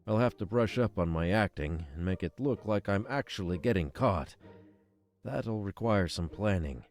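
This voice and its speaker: A man's voice: on the low side, expressive, normal speed, darkish tone. A man voicing a devoted, stoic rebel spy reading out his journal in a visual novel, solemn and determined. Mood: resolute. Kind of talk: scripted visual novel monologue.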